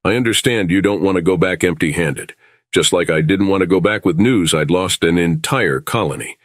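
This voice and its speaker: A man playing a calm, diplomatic starship captain in an audio drama, speaking in a measured, reasonable way. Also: low voice, dry recording, studio quality. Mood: reassuring.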